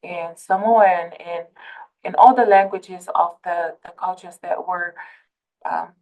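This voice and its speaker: Slow, soft-spoken Tongan woman presenter. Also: lowish voice, fairly even intonation, rough recording. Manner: hesitant, many fillers and restarts. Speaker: presenter for a behavioral health center. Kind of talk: webinar presentation.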